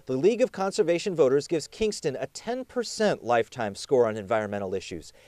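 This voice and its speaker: A man reading scripted television news as a reporter and anchor, with a punchy, emphatic delivery and dramatic pauses. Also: mid-range voice, big pitch swings, dark tone, no echo.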